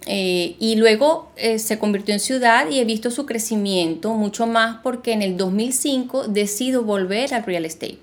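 A woman co-hosting a podcast, telling her story in a relaxed, halting way with fillers. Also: fairly high pitch. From Argentina, raised in Venezuela. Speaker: podcast co-host, realtor. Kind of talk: casual podcast conversation.

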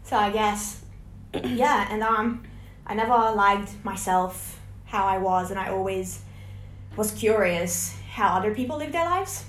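A woman's voice: medium pitch, unhurried, fairly bright tone. Chatty young woman. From Hungary. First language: Hungarian. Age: young adult. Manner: chatty, laughing, anecdotal storytelling. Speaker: interview guest, graduating student. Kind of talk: casual chat. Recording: echoey room.

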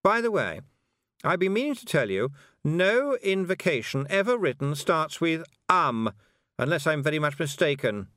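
A man's voice: on the high side, big pitch swings, normal speed, no room sound, studio quality, voice pushed up. Anagramma, a sneering bossy girl witch, as a man puts her on. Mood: scornful. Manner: sneering, condescending, sharp. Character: haughty bossy teenage girl witch student.

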